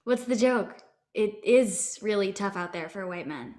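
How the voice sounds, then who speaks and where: Actress as a curt, condescending woman writer, mid-pitched. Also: animated, a little slow, a little mellow, lightly acted. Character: curt, condescending female TV writer in her thirties. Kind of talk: comedic script table read.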